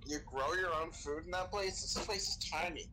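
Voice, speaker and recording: A man with a slightly high, bright voice talks casually as he role-plays in an online game. His manner is loose and sweary. The voice chat carries some light noise. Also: moderate pace, low-quality recording. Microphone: game voice chat microphone.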